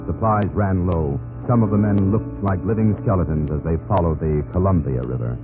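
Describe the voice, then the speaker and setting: A man's voice: low-pitched, normal speed. An American man narrating in a solemn, grand, dramatic old-time radio style as announcer. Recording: muffled old radio recording, loud music.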